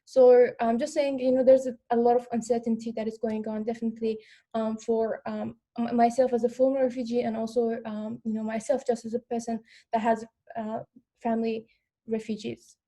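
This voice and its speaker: Young female speaker from Afghanistan, English second language. Slightly high, mellow voice, hesitant phrasing, informal panel delivery. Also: little pitch movement. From Afghan, lived in Iran. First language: not English. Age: young adult. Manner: halting, repeats words, frequent "like" and "you know". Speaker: panel speaker, former refugee. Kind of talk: informal panel talk, personal account.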